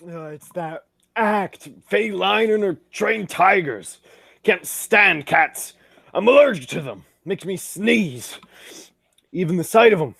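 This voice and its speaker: Radio play comic played by an amateur: old theatrical windbag, husky, florid, animated, close dry sound with music behind. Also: medium pitch, slow, no echo, heavily acted. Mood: grand, grumbling. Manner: florid, exclamatory, old-fashioned phrasing. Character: pompous, theatrical comic old ham actor Vitamin Flintheart. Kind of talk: 1940s radio comedy acting.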